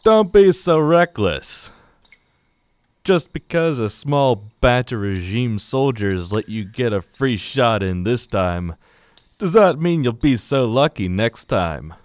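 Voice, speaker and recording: A man's voice: mid-range voice, lively intonation, slow, no room sound, pitched above the performer's own voice. A man voicing a blunt, stern resistance fighter in an amateur radio drama, speaking emphatically. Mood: stern, scolding. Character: blunt male resistance fighter, forceful and scolding.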